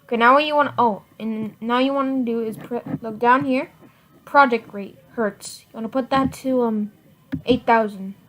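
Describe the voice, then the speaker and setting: A woman giving a casual software tutorial in informal, halting step-by-step instructions. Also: fairly high pitch, fairly even intonation. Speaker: tutorial presenter. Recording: noisy home computer mic, clicks.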